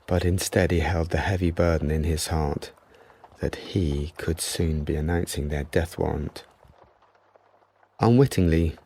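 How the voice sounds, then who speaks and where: Male narrator, low pitch, gravelly creak, scripted storytelling, radio drama. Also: mellow.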